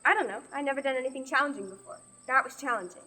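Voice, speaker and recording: A woman's voice: high voice, racing, bright, forceful tone, low-quality recording. A young woman acting a stubborn, indignant young woman on a quest in a radio play. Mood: wry, reflective. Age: young adult. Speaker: student actor.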